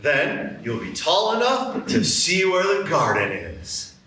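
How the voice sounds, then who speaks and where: A man's voice: fairly high pitch, huge pitch swings, takes it slowly, sharp tone, full character voice. A man voicing a laid-back, vague caterpillar who drawls with hesitant fillers in a live radio play. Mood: drowsy. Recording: roomy stage from a distance, faint hiss.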